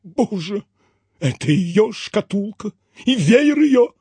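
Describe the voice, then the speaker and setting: Man playing a melodramatic betrayed nobleman, very animated. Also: medium pitch, a little slow, vocal fry, breathy edge, heavily acted. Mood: tragic grief. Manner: melodramatic, wailing, declamatory. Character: theatrical con man posing as wronged aristocratic husband.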